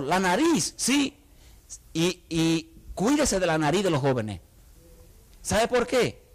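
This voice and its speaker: A middle-aged man with a Dominican accent preaches a sermon in an animated way. His voice sits high for a man and swings widely in pitch. He slips into the voices of the people in his stories as he talks. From Dominican Republic. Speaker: pastor.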